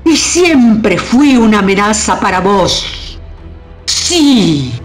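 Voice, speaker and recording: A woman's voice: mid-range voice, very animated, very slow. An actress playing a proud, domineering older queen in a historical radio drama, with a theatrical, scornful delivery. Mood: taunting, proud. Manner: theatrical, emphatic repetitions, haughty. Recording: music under the voice.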